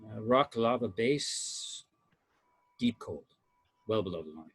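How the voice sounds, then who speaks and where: A man reading a measured science officer on a spaceship, reporting technical readings matter-of-factly at an amateur table read. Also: lowish voice, lively intonation, slightly rough, full character voice. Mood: clinical.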